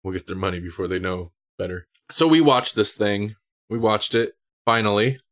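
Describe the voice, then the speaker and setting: A man's voice: on the low side, normal speed, fairly bright tone. A man hosting a casual film discussion on a podcast. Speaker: podcast host.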